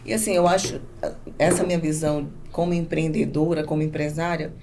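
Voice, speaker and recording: A woman's voice: sits low, flat delivery, fairly quick. A Brazilian woman speaking conversationally and emphatically as an interview guest, often repeating herself for stress. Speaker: podcast guest, business owner. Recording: clear background noise.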